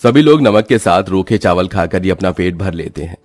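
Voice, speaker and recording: Male narrator, scripted cartoon tale, lowish pitch, dry sound, faint background music. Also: expressive, normal speed, darkish tone.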